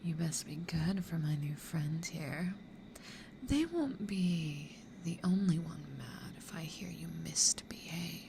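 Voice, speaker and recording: Creaky, whispering female demon, acted by a woman. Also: sits low, animated, takes it slowly, exaggerated voice. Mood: possessive, smug. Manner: hushed, teasing, whispery. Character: playful, mischievous demon who toys with humans. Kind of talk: whispered ASMR roleplay. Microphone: close studio condenser or binaural mic. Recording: clear background noise.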